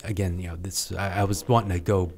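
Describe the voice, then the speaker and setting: A middle-aged man, a guest on a podcast, telling a story in a halting, rambling way that trails off quietly. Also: deep voice, fairly even intonation. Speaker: podcast guest, retired Navy serviceman. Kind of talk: casual interview storytelling.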